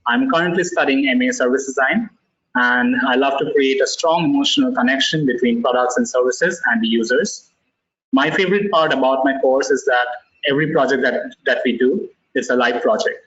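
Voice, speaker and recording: A man from Assam in India, a service design student, introducing himself loudly on a webinar, slightly halting. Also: medium pitch. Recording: loud lo-fi call audio from a distant mic.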